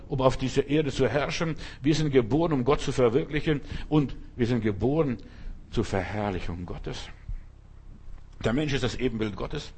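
A man's voice: mid-range voice, a little mellow, a little hiss or hum. A man preaching a sermon, speaking emphatically in repeated refrains and addressing the listener directly.

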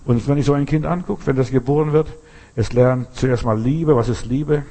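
A man's voice: mid-range voice, moderate pace, mellow. A man preaching a sermon, emphatic and full of rhetorical questions, often restarting his sentences.

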